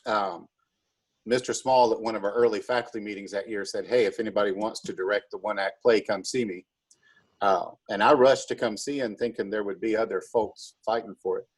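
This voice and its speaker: Male teacher in casual interview, calm, rather low and raspy, explanatory. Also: brisk, rough recording. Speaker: high school math teacher and drama director.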